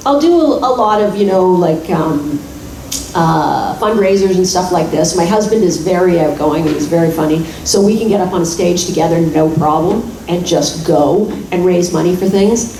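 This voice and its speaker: Mellow, chatty woman at a panel. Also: fairly low pitch, moderate pace, a little hiss or hum. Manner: chatty and self-deprecating, laughs often. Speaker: voice actor on a convention panel. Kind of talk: casual panel chat. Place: convention hall. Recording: echoey hall from far off.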